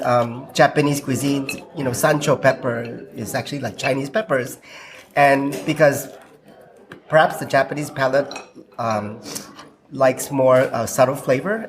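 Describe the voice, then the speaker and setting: A diner from Singapore, reflectively recalling food memories over lunch, with many ums and you-knows. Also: mid-range voice. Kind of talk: casual lunch conversation. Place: restaurant table during a meal. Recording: restaurant with clinking cutlery and dishes.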